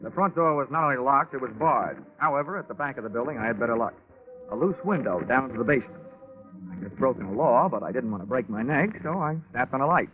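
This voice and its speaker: Man acting a hard-boiled American private investigator, deadpan wisecracks, medium pitch, 1940s radio drama, thin tinny old recording. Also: quick pace, music in the background, lightly acted. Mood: hard-boiled, confident. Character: wisecracking tough American male insurance investigator narrating his case.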